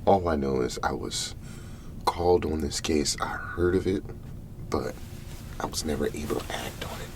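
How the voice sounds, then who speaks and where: Man as low, soft-voiced apologetic investigator. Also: creaky, background noise. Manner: hesitant, measured, formal. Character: apologetic, taken-aback male agency investigator on a case. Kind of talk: ASMR roleplay.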